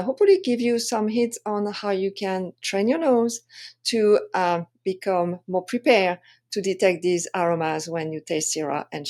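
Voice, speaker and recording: A woman with a French accent presenting an explanatory lesson as a wine tasting coach, speaking haltingly with frequent pauses. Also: medium pitch, darkish tone. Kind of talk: presentation lesson to camera or slides.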